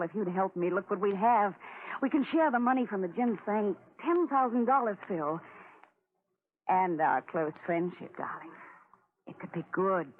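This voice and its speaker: A woman playing a scheming, seductive femme fatale in 1940s radio drama, pleading and intimate. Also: lowish voice. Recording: narrow-band 1940s radio recording, faint hiss.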